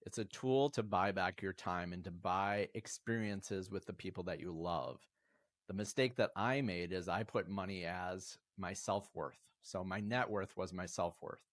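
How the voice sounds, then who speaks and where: A man in his fifties talks in a low, steady voice, earnest and reflective as he gives his views. The recording is dry and close, with little room sound. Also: a little slow, no room sound. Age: 50s. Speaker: podcast guest. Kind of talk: casual interview talk.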